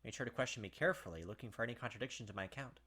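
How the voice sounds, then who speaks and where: A man's voice: medium pitch, rapid-fire, pushed and bright, husky. A man hosting a let's play, reading the story aloud and chatting casually, with lots of filler words. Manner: chatty, filler-heavy with frequent "like". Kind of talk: casual game commentary and read-aloud.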